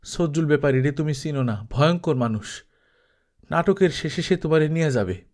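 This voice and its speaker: A man's voice: on the high side, lively intonation, moderate pace, a little mellow, close to an everyday voice. A man voicing a sick, worried village man in a story reading, with a tired, muttering, colloquial delivery. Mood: weary, anxious.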